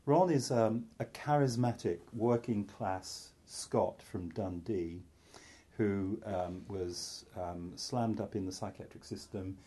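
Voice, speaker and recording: Low, dark-toned man from North Wales. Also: slow, husky. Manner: candid and self-deprecating, with frequent restarts and asides. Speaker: psychiatrist. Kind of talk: talk to an audience.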